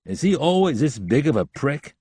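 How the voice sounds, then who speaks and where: A man's voice: fairly high pitch, sing-song, normal speed, warm tone, slightly rough, dry recording, voice pushed up. A man doing a brash, scornful male operative. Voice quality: blunt, scornful, cursing.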